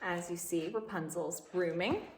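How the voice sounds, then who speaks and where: A woman's voice: lowish voice, a little slow, a little hiss or hum. A woman reading a story aloud to young children, expressively and with asides and questions to the listeners.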